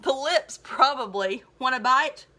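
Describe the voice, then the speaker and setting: A woman's voice: high voice, expressive, measured pace, sharp tone, exaggerated voice. A woman voicing a nerdy, bullied eighth-grade boy, wry and dramatic, for a storybook read-aloud.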